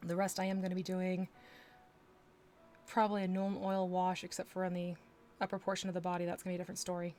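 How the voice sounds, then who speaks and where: A woman's voice: fairly low pitch, completely flat delivery, fast, long pauses, darkish tone, a little hiss or hum. A woman from Texas chatting casually on a livestream as she paints miniatures, rambling with asides and little laughs. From Texas, USA. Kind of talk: casual chat while working.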